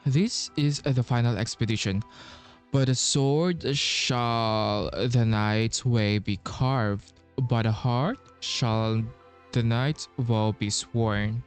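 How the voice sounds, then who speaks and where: Slow-talking young guy streaming a game. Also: medium pitch, clear, resonant tone. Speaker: gaming streamer. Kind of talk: casual playthrough commentary. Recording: game music in background. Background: game music.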